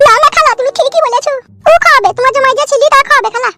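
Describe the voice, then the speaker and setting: A man voicing a coy, complaining young newlywed village wife in a comic cartoon, with a rural West Bengal accent and a pitched-up voice. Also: rapid-fire, noisy background. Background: music.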